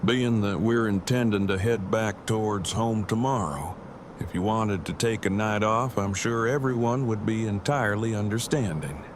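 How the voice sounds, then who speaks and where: An actor playing Eugene, a verbose, bookish and affectionate apocalypse survivor, speaking formally and precisely in an audio drama. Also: male voice, lowish voice, moderate pace, natural voice. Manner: formal, wordy, precise diction. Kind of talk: fan audio drama acting. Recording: clearly noisy, with ambience behind.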